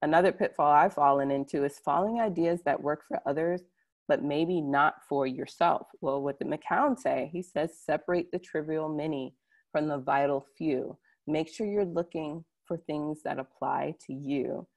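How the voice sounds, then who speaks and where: A woman's voice: sits low, normal speed, a little mellow. A woman giving an informal online presentation, speaking conversationally and addressing her listeners directly. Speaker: conference presenter. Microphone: computer mic on a video call.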